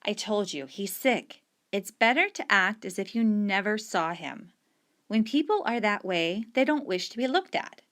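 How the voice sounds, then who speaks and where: A weary mother who answers her child's questions with tired, guarded replies, as a woman reads her. She talks slowly and keeps to a middle pitch. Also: lively intonation, a bit bright.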